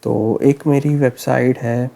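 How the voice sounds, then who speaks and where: A man with a sore, hoarse throat, walking through a computer tutorial step by step in a relaxed, explaining way, often pausing to check in. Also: mid-range voice. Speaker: tech tutorial host. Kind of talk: screen-recorded tutorial narration.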